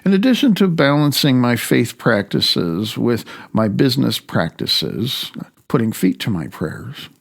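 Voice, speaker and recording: A man from the United States reading his own self-help audiobook in a wry, deliberate way with pauses. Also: medium pitch, sing-song, gravelly. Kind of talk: self-help audiobook narration with personal commentary. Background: faint music.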